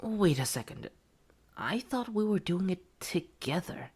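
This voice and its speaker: A woman's voice: lowish voice, sing-song, a little slow, a little mellow, husky, heavily acted. A woman voicing a betrayed, vengeful test subject in a horror audio roleplay, coaxing and menacing, with hurt close to the surface. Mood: suspicious.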